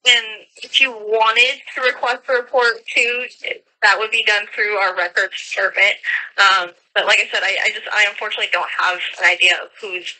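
A woman's voice: mid-range voice, fairly even intonation, moderate pace, sharp tone. An American woman handling an official phone call, explaining procedure politely as a sheriff's office call taker.

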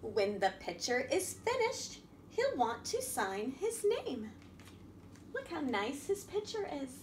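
A middle-aged woman reading a picture book aloud as a children's storytime host, expressive and sing-song, talking directly to young children. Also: sits high, slow. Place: indoor room at a wooden table by a window. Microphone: camera across the table. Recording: echoey room with background noise.

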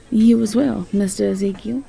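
A woman acts a sweet Southern belle in a fantasy audio drama. She has a Southern US accent and takes her time, with creaky edges to a gentle, folksy, hesitant delivery. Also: mid-range voice, steady tone, measured pace, poor audio. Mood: sweet, friendly. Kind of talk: fantasy audio roleplay acting.

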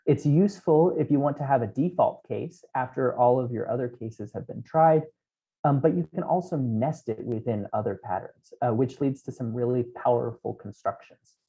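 A man in his thirties talks through code at a remote conference, pausing on ums as he explains each step. His voice is mellow and relaxed, heard through a headset in a small room. The audio is a bit dull and lo-fi. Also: mid-range voice. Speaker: conference keynote speaker. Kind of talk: technical conference talk. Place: small room at home, indoors.

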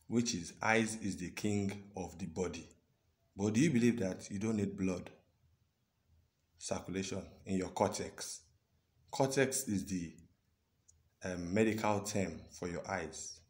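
Deep-voiced Nigerian man vlogging. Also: a little mellow. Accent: Nigerian (Yoruba). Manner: chatty, earnest, drawn-out words and frequent "okay?". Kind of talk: casual selfie vlog talk. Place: indoors, small room with curtains. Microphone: phone at arm's length.

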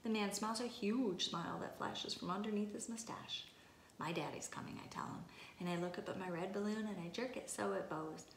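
A woman's voice: mid-range voice, brisk. A woman reads a children's storybook aloud with expression, in a mid-range voice. The sound is roomy and echoey, with noise behind her. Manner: expressive, acting out exclamations and dialogue. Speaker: storybook reader. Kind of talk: children's picture book read-aloud. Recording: echoey room, clear background noise.

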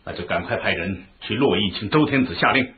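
An actor playing an ambitious ancient Chinese duke in a radio drama, lordly and deliberate, with a husky voice. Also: male voice, lowish voice, animated, natural voice. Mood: questioning. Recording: muffled phone-like radio drama recording.

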